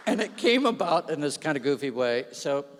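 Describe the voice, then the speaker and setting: A man's voice: fairly high pitch, normal speed, crowd noise, background noise. Man telling funny stories in a big hall. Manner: self-deprecating storyteller with lively asides. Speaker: speaker at an awards ceremony. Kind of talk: humorous anecdotal speech. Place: large event hall. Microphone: stage microphone. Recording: long reverb of a large hall.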